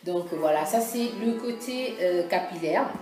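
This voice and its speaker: A woman's voice: on the low side, lively intonation, moderate pace, warm tone. Chatty woman beauty vlogger, echoey room. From Congo. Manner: chatty, hesitant, many fillers and restarts. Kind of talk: casual beauty vlog talk. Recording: echoey room with music behind. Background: background music.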